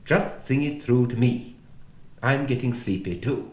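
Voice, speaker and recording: A man's voice: medium pitch, measured pace, a little mellow, close to an everyday voice. A man voicing an imperious, patronising queen from a children's storybook. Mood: bossy, soothing. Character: bossy, brisk storybook chess queen, a grown woman. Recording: echoey room.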